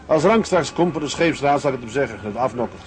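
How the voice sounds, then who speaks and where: A man's voice: mid-range voice, lively intonation, quick pace, close to an everyday voice. An actor playing a level-headed, plain-spoken seaman in a Dutch audio drama. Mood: resigned. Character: sober, level-headed male seaman, the captain's fellow officer. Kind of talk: Dutch radio drama acting. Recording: noisy outdoor ship ambience.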